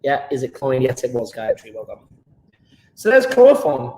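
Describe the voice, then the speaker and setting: A man's voice: mid-range voice, big pitch swings, moderate pace, warm tone, roomy sound, rough recording. A British man teaching a live online chemistry lesson, playful and enthusiastic, joking with his pupils.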